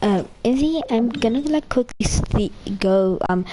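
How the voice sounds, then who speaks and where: A woman's voice: a little high, animated, measured pace, background noise. A woman chatting casually while she plays a video game, as a content creator. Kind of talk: casual gameplay chat.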